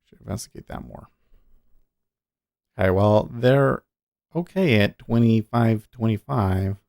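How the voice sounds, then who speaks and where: A man's voice: fairly low pitch, unhurried, vocal fry, a little mellow, no room sound, studio quality. A man hosting a day trading livestream, chatting casually and thinking aloud, often muttering to himself between points.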